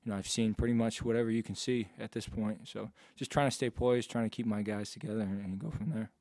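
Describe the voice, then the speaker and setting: A young man answering questions as a college basketball player at a postgame press conference, in a laid-back, low-key way, into a table mic. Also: steady tone, quick pace, warm tone, no echo. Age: young adult. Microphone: table mic on stand.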